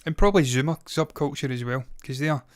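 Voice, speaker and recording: A man's voice: mid-range voice, fast, no room sound, clean studio sound. A man in his thirties hosting a movie news show, talking casually, with rambling opinionated asides. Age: 30s.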